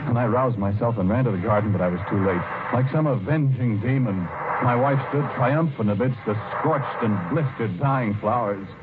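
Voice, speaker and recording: An actor playing a haunted, fearful husband in an old-time radio horror drama, speaking slowly and haltingly with brooding, heavy pauses. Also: male voice, medium pitch, very noisy, close to an everyday voice. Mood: horrified. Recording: muffled, tinny vintage radio recording with music. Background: loud music.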